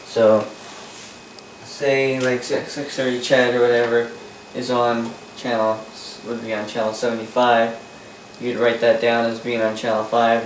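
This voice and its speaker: Flat-toned Canadian man, roomy distant recording. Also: mid-range voice. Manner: halting, repeats and rephrases himself. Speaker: vintage radio hobbyist presenting. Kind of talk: casual unscripted demonstration. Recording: roomy, distant mic, faint noise.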